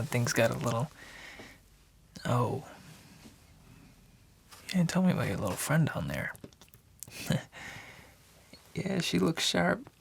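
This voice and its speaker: A man playing a chatty, nervous bartender in an ASMR noir roleplay, speaking softly and often in whispers with tough-guy slang. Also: mid-range voice, vocal fry, slight background noise, exaggerated voice.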